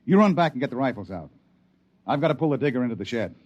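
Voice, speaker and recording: An actor playing a gruff, plain-spoken middle-aged prospector and father in a 1950s radio drama. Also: medium pitch, very animated, fast, darkish tone, lo-fi sound. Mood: wary. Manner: gruff, folksy grammar.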